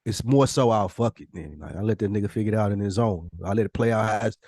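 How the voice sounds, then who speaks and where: A man with a slightly low voice talks casually as a podcast co-host. He is blunt and slangy, swears freely and repeats himself to drive points home, in a very dry recording. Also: fast talker, warm tone, no room sound. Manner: blunt, profane, slangy, emphatic repetition.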